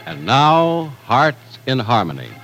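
Male announcer, old-time radio broadcast, mid-pitched, sweeping emphatic intonation, polished sales reads. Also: wildly swooping pitch, slow, loud music behind the voice. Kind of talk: 1940s radio announcing, scripted. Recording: vintage radio broadcast recording.

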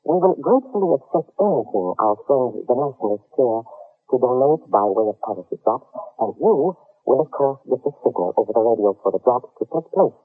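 Casting: gloating fake colonel, old radio serial; husky, mock-polite, menacing, animated inflection. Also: male voice, mid-range voice, faint music behind. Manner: formal, mock-polite, deliberate. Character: suave, menacing male impostor colonel, gloating captor. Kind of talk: 1950s radio drama acting. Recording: muffled old radio recording.

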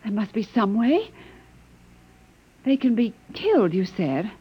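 An actress playing a distressed, earnest captive woman in an old radio drama, husky and pleading, with hesitant delivery. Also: on the high side, big pitch swings, measured pace, exaggerated voice. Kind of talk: classic radio drama acting. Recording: dull old radio recording.

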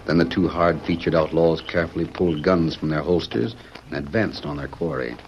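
A man's voice: very deep voice, a little fast, a little hiss or hum. A man narrating an old-time radio western in a dramatic, scripted style. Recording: dull old radio recording with music.

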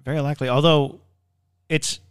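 A man's voice: on the high side, steady tone, moderate pace, clear, resonant tone. A man co-hosting a conversational paranormal podcast, explaining his ideas in a musing way and often repeating words as he gathers them. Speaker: podcast co-host.

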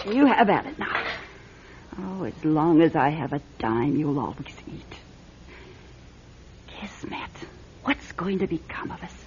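Weary, theatrical old fortune-teller, swooping delivery. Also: female voice, sits low, a little mellow, slight background noise. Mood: doting, weary. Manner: theatrical, hesitant, wheedling, calls people "my dear". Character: weary, shabby, aging female fortune-teller fond of her cat. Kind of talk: classic radio drama acting. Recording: muffled old radio drama recording.

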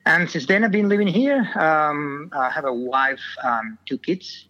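A man's voice: a little high, unhurried, sharp tone. A middle-aged man from Venezuela, a podcast guest on a phone line, talking hesitantly with many fillers.

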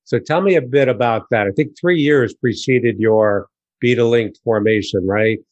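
A man's voice: mid-range voice, moderate pace, darkish tone, no room sound. A man hosting a conversational business interview, asking questions over slightly lo-fi audio. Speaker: interviewer, host.

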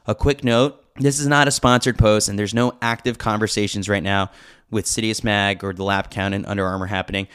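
A man's voice: fairly low pitch, fairly even intonation, a little fast, fairly bright tone, clean tone. A man reading a scripted sports newsletter as a podcast host, with wry, opinionated commentary.

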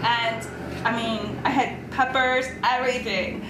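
High-voiced American woman in a roomy echo. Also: steady tone, a little slow. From Chicago area, United States. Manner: passionate, talkative. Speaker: interview guest, entrepreneur. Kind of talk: casual interview chat. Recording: roomy echo, clear background noise.

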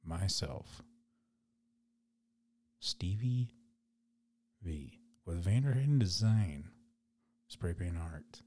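A man hosting a podcast solo, with a raspy voice, chatting loosely and rambling in an unedited way. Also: low voice, animated, extremely slow, pauses often, warm tone. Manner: rambling, loose, laid-back. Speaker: podcast host. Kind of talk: casual solo podcast chat.

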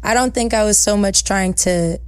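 A young woman with a soft voice talks as a music guest in an upbeat, bubbly way full of slang. Her voice sits at an ordinary pitch for a woman. The sound is dry and close, with no room around it. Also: moderate pace, no room sound. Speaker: singer-songwriter guest. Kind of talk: casual interview chat.

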